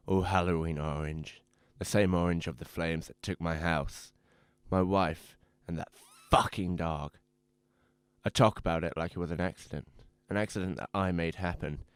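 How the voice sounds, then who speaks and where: A man's voice: low voice, smooth, no echo, natural voice. A man playing a bitter, guarded drifter in a radio drama, with a brooding, profane way of talking. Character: bitter, guarded male drifter fleeing his burnt-down life. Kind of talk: noir-style radio drama monologue and dialogue. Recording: noisy background of car and road. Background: car driving ambience.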